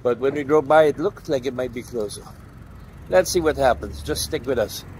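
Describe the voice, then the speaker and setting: A man's voice: medium pitch, normal speed, a little mellow, poor audio. A middle-aged man doing casual walk-around vlog narration, addressing viewers directly as he points out what is around him. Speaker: travel vlogger. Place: outdoors on city streets and parking lots. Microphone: phone or camera at arm's length. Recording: outdoor street and vehicle noise.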